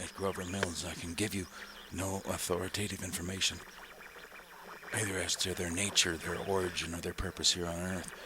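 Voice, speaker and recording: An actor playing a grave, scholarly professor, speaking in a deliberate, halting, pedantic way for a radio drama. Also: male voice, sits low, noisy background. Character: grave, scholarly male professor, shaken eyewitness. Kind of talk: old-time radio drama monologue.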